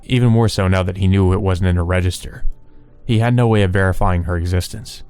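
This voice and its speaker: A man hosts a let's play, reading visual novel narration aloud. His voice sits fairly low, and music plays behind it. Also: fairly even intonation, fairly quick, a little mellow, clear, resonant tone.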